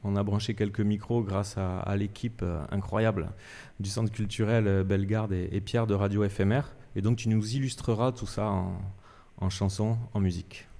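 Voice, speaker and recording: A male radio host with a fairly low, mellow voice interviews a guest live at a cultural centre. He halts often, filling gaps with hesitations and restarting phrases. The sound carries a long hall reverb. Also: steady tone, moderate pace. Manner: hesitant, many fillers and restarts.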